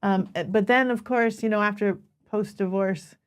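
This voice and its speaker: A North American woman talks as a podcast co-host, sharing memories informally. Her pitch is average for a woman, and her delivery is that of casual, personal conversation. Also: steady tone, moderate pace.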